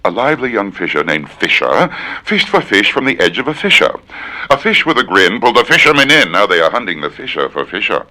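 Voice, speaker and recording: A man's voice: on the low side, very animated, a little fast, bright, forceful tone. A man giving a theatrical recitation of wordplay with precise, dramatic enunciation. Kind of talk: theatrical tongue-twister recitation.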